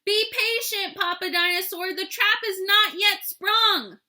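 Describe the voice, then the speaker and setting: A sly mama dinosaur from a children's storybook, as a woman reader puts her on. She speaks in a high, bright voice with a knowing, theatrical manner that hints at a scheme. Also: a little slow, exaggerated voice. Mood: scolding, urgent. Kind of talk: storybook read-aloud.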